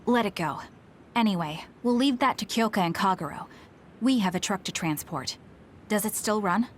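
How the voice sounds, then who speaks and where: A woman's voice: mid-range voice, lively intonation, moderate pace, slight background noise, natural voice. A woman voicing a serious young platoon leader in an anime-style game, firm and businesslike.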